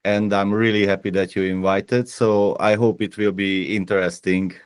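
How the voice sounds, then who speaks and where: A man with a Hungarian accent, from Transylvania, chatting as a guest author and pausing to search for words. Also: on the low side, flat delivery, smooth, dry recording, studio quality. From Transylvania, Romania. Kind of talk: casual podcast chat.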